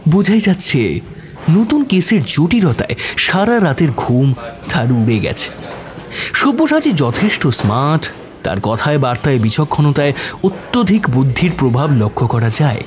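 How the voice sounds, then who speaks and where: A man's voice: fairly high pitch, a little hiss or hum. A man narrating a scripted detective story in a dramatic audio-drama style.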